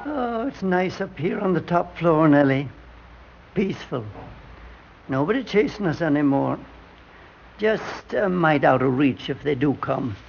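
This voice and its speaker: A frail old man with a high, gravelly voice, rambling tenderly and losing his thread. It is an old-time radio drama on a dull, hissy recording. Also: expressive, unhurried, over music. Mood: tender, wistful. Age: older. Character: frail, confused old elevator man doting on his elevator Nellie.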